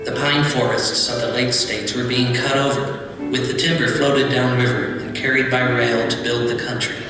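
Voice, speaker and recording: A man's voice: mid-range voice, little pitch movement, normal speed. A man reading scripted documentary narration, with music behind him. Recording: distant echoey hall playback, music behind.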